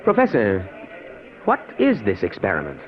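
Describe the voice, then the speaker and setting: Agreeable, inquisitive male lead in his thirties, old radio drama, lively intonation, hesitant wonder, narrow phone-like sound. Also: on the low side, normal speed, slight background noise. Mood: curious. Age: 30s. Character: curious, trusting young man, the professor's test subject. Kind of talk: 1950s radio drama acting.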